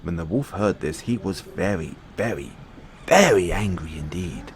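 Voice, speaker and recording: A man reading bedtime fairy tales as an audio roleplay, in measured storybook narration. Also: deep voice, sing-song, a crowd in the background.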